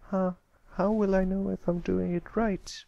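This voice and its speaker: A man's voice: sits high, flat delivery, unhurried, vocal fry, mellow, dry recording, muffled recording, pitched above the performer's own voice. A man voicing a timid, earnest little pony colt with a hesitant, apologetic manner in a storybook reading. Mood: determined.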